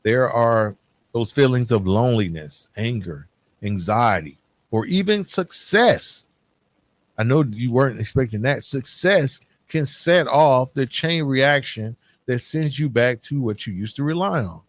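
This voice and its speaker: A man with a mid-pitched voice speaks as a solo podcast host. His delivery is candid and informal, sitting between prepared notes and loose conversation. He talks straight to the listener and often tags his points with a short check-in question. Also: lively intonation, measured pace. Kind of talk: solo podcast talk on recovery, half-scripted and conversational.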